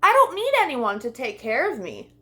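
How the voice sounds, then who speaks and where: A woman's voice: high voice, wildly swooping pitch, normal speed, sharp tone, exaggerated voice. A woman voicing a carefree, independent young male butterfly in a children's storybook, breezy and self-assured. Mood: breezy farewell.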